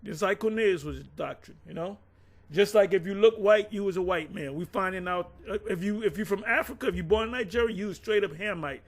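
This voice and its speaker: A man in a casual conversation, recounting past events bluntly and with rough language, often restarting his sentences. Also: high-pitched, a little fast. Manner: blunt, profane, rambling with many restarts. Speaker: former group member recounting events.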